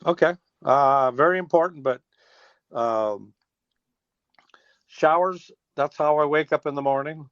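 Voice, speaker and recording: A man's voice: a little high, measured pace, pauses often, fairly bright tone, no echo. Folksy older American man on a dull phone line. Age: 60s. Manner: folksy, rambling with trailing restarts. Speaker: interview guest, teacher and former air traffic controller. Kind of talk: casual phone interview, reminiscing. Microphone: telephone.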